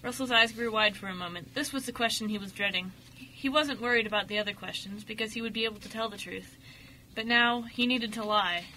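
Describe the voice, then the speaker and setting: A woman narrating a scripted radio story dramatically, with expressive, emphatic delivery. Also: on the high side, brisk, low-quality recording. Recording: faint noise, amateur radio show recording.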